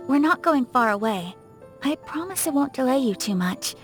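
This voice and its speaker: Young samurai clan lady from a game, highish voice, super polite n proper, reserved, music behind. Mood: warm, gracious. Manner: formal, polite, poised. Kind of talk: scripted game character voice lines.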